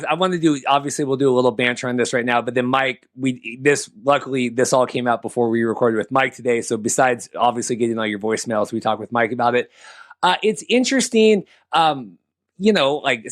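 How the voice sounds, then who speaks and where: A man co-hosts a casual sports show in an average-pitched voice. He talks in a loose, rambling, conversational way, as in friendly banter. The sound is dry, with hardly any room on it. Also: no room sound. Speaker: sports podcast host.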